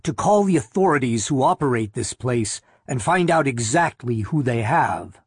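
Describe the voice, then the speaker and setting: A man's voice: medium pitch, expressive, moderate pace, slightly rough, no room sound, clean studio sound. A man voicing an intent, watchful husband in an audiobook, terse, firm and preoccupied. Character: Sebastian, intent, watchful husband who senses the reawakening dead. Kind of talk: audiobook character voice.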